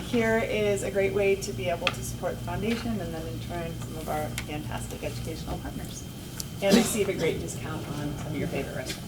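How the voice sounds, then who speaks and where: Woman foundation rep, mid pitch, hesitant delivery, far off over room noise. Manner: hesitant, filler-heavy. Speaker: education foundation representative. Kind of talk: community announcement. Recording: distant mic, noisy room.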